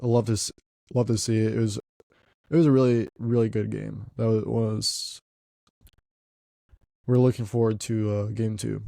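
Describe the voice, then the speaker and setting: A man's voice: fairly low pitch, flat delivery, normal speed, vocal fry, long pauses, dark tone, no room sound, clean studio sound. A young man hosting a podcast, talking casually about basketball, congested and stuffy, often repeating words as he goes. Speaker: podcast host.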